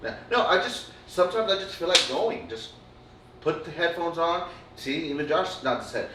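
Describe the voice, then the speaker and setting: A man's voice: a little high, animated, normal speed. A man telling long rambling stories in casual chat, full of fillers and swearing. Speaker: podcast co-host. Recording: roomy, distant mic, faint room noise.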